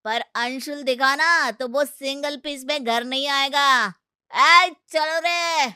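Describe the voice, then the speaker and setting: A woman voicing a threatening male gangster debt collector with a swaggering, drawn-out delivery in a cartoon story. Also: high-pitched, sharp tone, no room sound, clean studio sound, pitched above the performer's own voice, full character voice.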